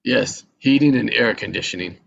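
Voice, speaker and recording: A man's voice: mid-range voice, normal speed, vocal fry, a bit bright, no room sound. A man reading the part of a hesitant yuppie husband in a screenplay table read, dry and noncommittal. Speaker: actor in a live table read. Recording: dull mic with faint noise.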